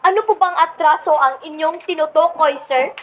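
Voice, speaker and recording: A young woman playing a polite radio field reporter in a scripted radio play, deferential and measured in her announcing. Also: high voice, a bit bright, slight background noise.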